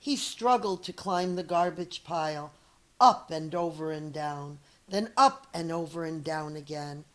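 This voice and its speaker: A middle-aged woman reads a picture book to children like a schoolteacher. Her voice sits slightly low and she goes very slowly and deliberately. She tells it expressively, adding small chatty asides. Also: fairly bright tone. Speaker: elementary school teacher reading a story.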